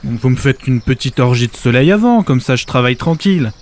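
A man's voice: mid-range voice, expressive, a little fast, a little mellow, lightly acted. An actor playing a sarcastic, overwhelmed everyman ark builder in a comedic audio drama, haggling in hesitant, colloquial speech.

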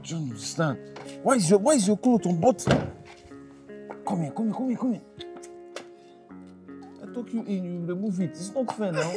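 A man's voice: high voice, lively intonation, moderate pace, pauses often, over music. A male actor with a Nigerian accent playing a harried, exasperated father in a screen drama, repeating himself as he pleads and scolds. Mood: flustered soothing. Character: harried, exasperated father struggling alone with his children. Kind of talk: naturalistic screen drama acting.

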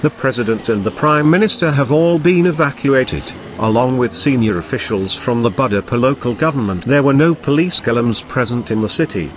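A man's voice: medium pitch, a little fast, hardly any pauses, noisy background. A man reading a scripted breaking news report as a news anchor.

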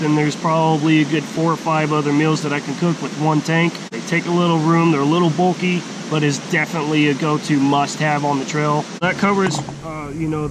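A middle-aged man giving a casual, plain-spoken outdoor gear walkthrough in a forest by a stream, speaking slowly with halting pauses. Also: fairly high pitch. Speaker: hiking vlogger. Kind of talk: casual gear show-and-tell. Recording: steady outdoor background hiss.

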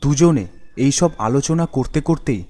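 A man's voice: mid-range voice, unhurried, mellow. A man giving scripted narration of a ghost story as the storyteller. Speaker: storyteller and voice artist. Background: background music.

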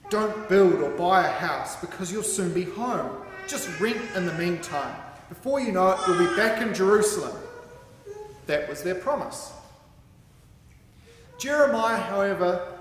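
A man with a high-pitched, lively voice reads out a sermon with deliberate, emphatic phrasing. He speaks at a stand microphone in a large hall, and the sound is very echoey with some background noise. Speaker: sermon reader. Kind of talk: sermon read aloud from a script. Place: large hall with curtained stage, indoors. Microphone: microphone on a stand at a lectern.

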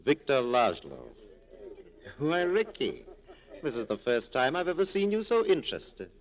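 An actor playing a wryly corrupt police captain with an urbane, teasing, courtly manner, in 1940s radio style. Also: male voice, mid-range voice, wildly swooping pitch, unhurried. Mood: wry, self-assured. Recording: muffled 1940s radio broadcast recording.

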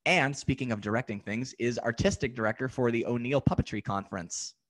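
A man's voice: mid-range voice, a little fast. Young man hosting a nostalgia podcast. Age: young adult. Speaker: podcast host. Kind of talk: casual interview chat.

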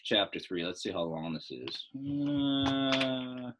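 A man's voice: medium pitch, animated, slow, noisy background. A middle-aged American man reading a story aloud like a teacher, expressively, with casual explanatory asides. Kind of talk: read-aloud storytelling with informal lesson asides.

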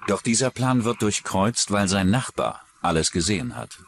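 A man narrating a true-crime documentary from a script in a measured, dramatic way. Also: on the low side, sing-song, slight background noise. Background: music behind the voice.

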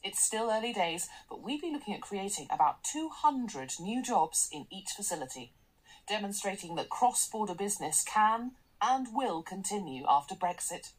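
An actress in a radio drama playing a brisk, commanding, articulate corporate boss, cool and self-assured. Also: female voice, medium pitch, very animated, low-quality recording. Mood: formal, confident. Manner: assertive, articulate, polished.

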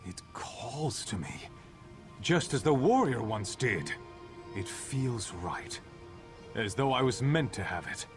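An actor playing a noble, weary, loyal warrior in a video game, speaking in a solemn, measured, earnest way. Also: male voice, mid-range voice, big pitch swings. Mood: solemn, warm farewell. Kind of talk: scripted game character dialogue. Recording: music behind the voice.

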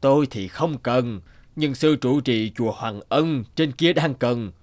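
A man's voice: medium pitch, a little slow, a little mellow, no echo, lightly acted. A helpful old village man in a cartoon folk tale, voiced by a man. He is mild in temper. He talks with small hesitations, offering advice plainly.

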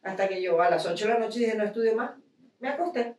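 A woman's voice: mid-range voice, quick pace, darkish tone. A Venezuelan woman in the audience asking a question, hesitant and full of restarts. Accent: Venezuelan Spanish. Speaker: audience member. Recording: distant voice in an echoey hall.

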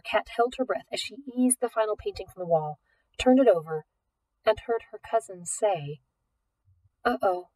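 A woman reads a novel aloud with expressive, scripted delivery. Her voice sits at a middle pitch. She sounds slightly off the microphone, in a room with little echo. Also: distant mic. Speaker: audiobook reader. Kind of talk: expressive scripted storybook narration.

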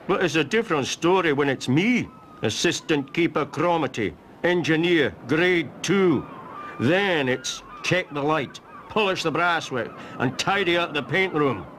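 A man's voice: fairly high pitch, expressive, unhurried, fairly bright tone, slight background noise, voice pushed up. A man doing a moaning, workshy lighthouse keeper. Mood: grumbling. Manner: moaning, self-pitying. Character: lazy, whiny male assistant lighthouse keeper.

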